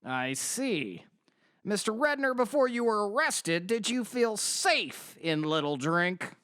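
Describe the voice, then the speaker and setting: A man's voice: sits high, sing-song, takes it slowly, fairly bright tone, clean tone, dry recording, clean studio sound, exaggerated voice. A man playing an earnest defense lawyer in an audio drama, questioning with probing courtroom formality.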